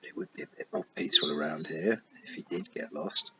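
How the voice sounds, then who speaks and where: A man's voice: rumbling low, fairly quick, voice pushed down. A man voicing a thoughtful young girl who speaks earnestly. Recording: muffled with steady hiss.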